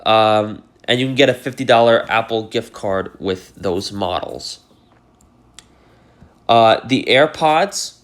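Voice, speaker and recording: A man's voice: fairly low pitch, slow pace. A man with a cold and a congested voice, chatting casually to camera about tech deals, rambling with plenty of fillers. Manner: rambling, lots of fillers like "uh" and "you know". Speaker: tech YouTuber.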